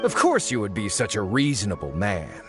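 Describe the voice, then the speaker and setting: A male actor playing a smooth-talking, self-assured researcher in a video game, with a glib and ingratiating manner. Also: on the low side, wildly swooping pitch, moderate pace, over music. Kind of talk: scripted game dialogue.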